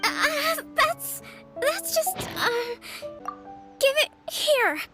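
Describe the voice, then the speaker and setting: A woman playing a gentle, dutiful young priestess and leader in a video game, speaking in a soft, breathy, measured way. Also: extremely high pitch, big pitch swings, full character voice. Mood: flustered. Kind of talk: video game character acting. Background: music.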